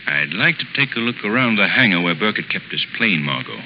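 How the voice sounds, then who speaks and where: A man playing a resolute, assured gentleman sleuth and pilot in a 1930s radio drama. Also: deep voice, very animated, a little fast. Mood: determined, confiding. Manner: crisp, earnest, assured. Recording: noisy muffled 1930s radio recording, engine drone.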